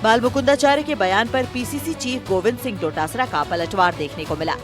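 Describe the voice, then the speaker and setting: A woman's voice: fairly high pitch, brisk, music in the background. A woman reading a scripted television news report as a voice-over.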